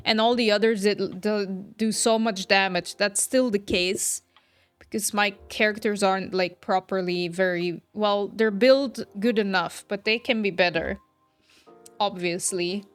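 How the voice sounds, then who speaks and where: Female game streamer, unhurried casual chat to viewers, dry close mic, faint music bed. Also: medium pitch, steady tone, clear, resonant tone, no room sound. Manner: chatty, talking to viewers. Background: soft background music.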